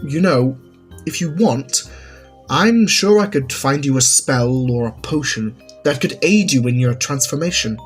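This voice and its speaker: An ancient shapeshifting demon of no gender, played by a male narrator, naive and impeccably polite. The husky voice speaks formally and earnestly in an audio story, over light music. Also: medium pitch, lively intonation. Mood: earnest, helpful. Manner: courteous, earnest, formal, measured. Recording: music under the voice.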